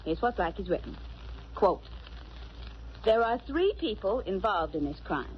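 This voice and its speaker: Brisk, wry radio sleuth's assistant. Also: female voice, medium pitch, very animated. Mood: deliberate, triumphant. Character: brisk, wry, loyal young female detective's assistant. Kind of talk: 1940s radio acting. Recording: noisy, muffled old radio recording.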